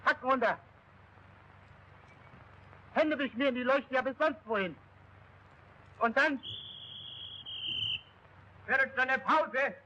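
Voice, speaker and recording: An actor playing a strict German military instructor, clipped, commanding and scolding, on an old 1940s training film soundtrack. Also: male voice, very high-pitched, takes it slowly, pauses often, bright, forceful tone, muffled recording, slight background noise, full character voice. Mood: stern, commanding.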